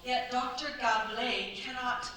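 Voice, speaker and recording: A woman's voice: a little high, steady tone, a little slow, pushed and bright. A woman playing a haughty, possessive older mother of a grown son, formal and theatrical in a staged radio play. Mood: forceful, worried. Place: stage at a cultural centre. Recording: echoey stage, distant mic, faint noise.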